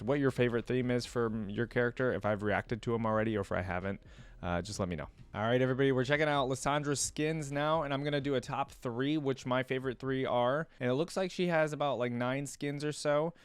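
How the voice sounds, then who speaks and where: A man's voice: medium pitch, brisk, clear, resonant tone. A man hosting a reaction video, talking casually and thinking aloud as he addresses his viewers.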